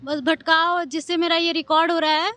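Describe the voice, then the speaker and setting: High-voiced young woman explaining calmly. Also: moderate pace. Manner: earnest, explaining step by step. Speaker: gold medalist graduate. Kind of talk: interview answers.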